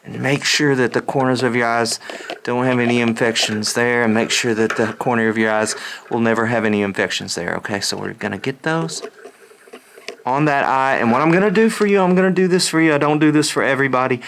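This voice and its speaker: A man playing a slightly deranged, scatterbrained doctor in a soft-spoken ASMR roleplay, halting, repetitive and insistent. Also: mid-range voice, slight background noise, natural voice. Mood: earnest, reassuring. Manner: halting, repetitive, distracted muttering.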